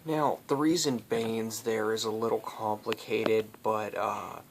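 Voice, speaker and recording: A man hosting casual improvised storytelling, rambling and halting as he makes it up. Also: lowish voice, fairly even intonation, gravelly, a little hiss or hum. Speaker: storyteller, host.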